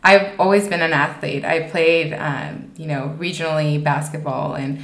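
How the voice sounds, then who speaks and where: A woman's voice: deep voice, fairly even intonation, normal speed. A woman in her twenties from Mexico, telling personal stories as an interview guest in an emphatic, conversational way full of "you know". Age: 20s. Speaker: podcast guest. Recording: roomy, echoing sound.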